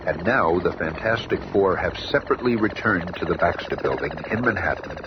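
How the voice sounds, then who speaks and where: A man's voice: low voice, lively intonation, brisk. A man narrating a 1970s radio drama as a dramatic storyteller. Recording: dull, noisy old radio tape.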